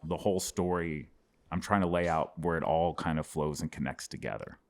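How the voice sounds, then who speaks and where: A man's voice: rumbling low, normal speed. A man in his thirties from Dallas, a stand-up comedian talking reflectively about his life in a home studio. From Dallas, Texas. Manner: reflective storyteller, animated hand gestures. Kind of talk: casual interview chat. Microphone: boom-mounted studio mic with headphones.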